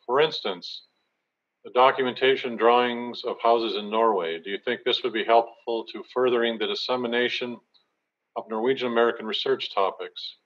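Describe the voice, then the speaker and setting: A man's voice: medium pitch, moderate pace. Middle-aged man reading questions steadily. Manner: steady, reads questions evenly. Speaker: moderator. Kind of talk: reading audience questions aloud. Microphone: webcam on a video call. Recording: slightly dull video call audio.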